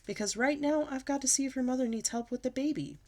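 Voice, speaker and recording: A woman voicing a patient father who speaks calmly and gently. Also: high-pitched, quick pace, pitched above the performer's own voice.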